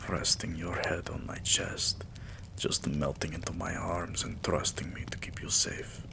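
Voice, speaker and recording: Man playing a very deep, whispering vampire king. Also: fairly even intonation. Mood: soothing, protective. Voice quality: breathy, gravelly half-whisper. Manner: slow, tender, intimate murmuring with endearments. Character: adoring, weary vampire king, tender and devoted lover. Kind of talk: romantic roleplay ASMR.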